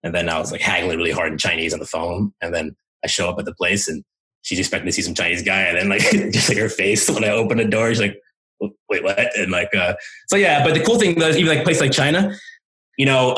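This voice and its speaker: A man speaking as an interview guest, explaining and telling anecdotes in an informal, animated way with acted-out asides. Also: on the low side, rough recording. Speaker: interview guest, language coach.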